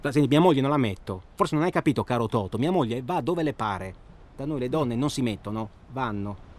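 A man's voice: mid-range voice, animated, brisk, a little mellow, natural voice. A man acting in a radio drama as a well-spoken, self-absorbed hunting traveller who talks insistently and at length. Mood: indignant, insistent. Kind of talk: naturalistic radio drama dialogue. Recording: clear ambient noise behind.

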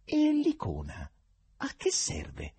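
A man voicing a calm male doctor in an audiobook, questioning in a measured manner. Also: very high voice, no room sound, voice pushed up, exaggerated voice. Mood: inquiring.

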